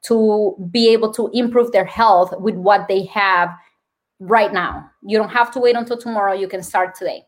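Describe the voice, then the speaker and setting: A woman's voice: mid-range voice, steady tone, normal speed. Woman with a Colombian accent. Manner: earnest, explanatory, lists points. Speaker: nurse and wellness speaker. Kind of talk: podcast interview.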